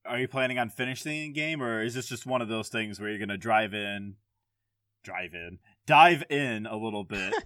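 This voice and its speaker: A man's voice: lowish voice, moderate pace, fairly bright tone, dry recording, studio quality. A man on a gaming podcast, chatting casually and putting questions to the others. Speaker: video game podcast panelist. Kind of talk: casual chat, asking questions.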